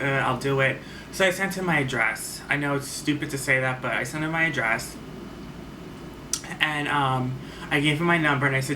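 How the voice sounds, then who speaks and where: A man telling a personal story on his vlog in a casual, rambling way, full of fillers and restarts. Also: mid-range voice. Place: at home. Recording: faint far-off mic with noticeable background noise.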